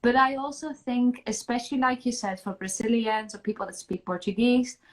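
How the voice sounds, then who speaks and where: A woman's voice: fairly high pitch, fairly even intonation, normal speed, low-quality recording. A woman in her thirties hosting an interview over a video call, affirming and encouraging her guest. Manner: affirming, encouraging, nods along. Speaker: podcast host, yoga and language coach. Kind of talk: casual interview chat. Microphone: webcam on video call.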